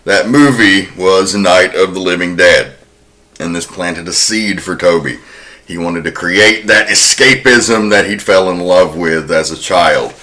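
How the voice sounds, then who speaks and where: Man, low forceful voice, podcast host, conversational film-history narration. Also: a little slow.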